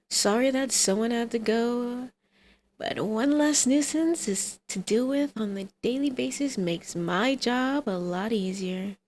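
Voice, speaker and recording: A woman's voice: a little high, slow, a little mellow, a little hiss or hum. A woman playing a brazen, scheming supervillain mother in an ASMR roleplay, teasing, smug and coaxing.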